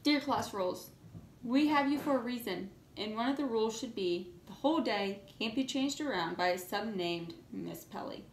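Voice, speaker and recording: An earnest, easily indignant schoolchild, voiced by a woman from a storybook. The voice is fairly high and emphatic, in an echoey room with faint noise. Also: expressive, moderate pace. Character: earnest, indignant young schoolchild writing letters.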